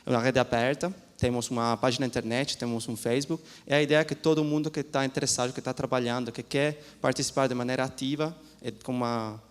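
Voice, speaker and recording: A man, a law professor, giving a seminar talk in a lecture hall, speaking haltingly with many restarts and a mix of languages. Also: mid-range voice, fairly even intonation, clean tone. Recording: long hall reverb.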